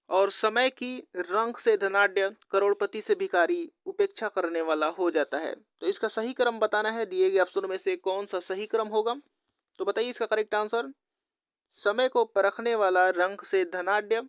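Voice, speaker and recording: A woman's voice: mid-range voice, clean tone, no echo, studio quality. A woman teaching an exam-prep lesson in a methodical, instructional way, repeating questions and answers. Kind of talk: scripted quiz lesson narration.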